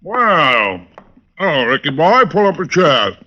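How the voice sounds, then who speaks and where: An actor playing a gruff, easily needled male police lieutenant in an old-time radio drama, blustery and jovially bickering. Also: on the high side, very animated, takes it slowly, sharp tone, exaggerated voice. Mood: blustery banter. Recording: muffled vintage radio recording.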